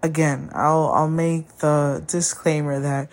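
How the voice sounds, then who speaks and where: A woman whose first language is Spanish, hosting a podcast and talking candidly about a breakup, often pausing to find her words. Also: sits low, little pitch movement. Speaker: podcast host. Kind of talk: personal monologue.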